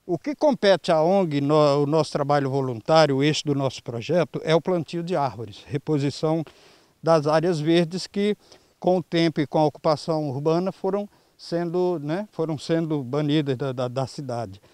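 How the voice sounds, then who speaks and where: A Brazilian man in his early sixties answering an interview earnestly and haltingly through a face mask, into a handheld microphone. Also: fairly high pitch, darkish tone, no echo. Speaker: environmental volunteer leader. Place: outdoors in a grassy clearing. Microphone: handheld reporter microphone.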